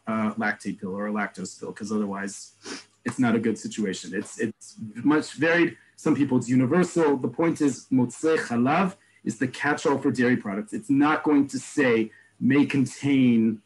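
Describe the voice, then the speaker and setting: A man teaching an online class, explaining terms and repeating each word slowly. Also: mid-range voice, low-quality recording. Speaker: Hebrew teacher. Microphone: video call.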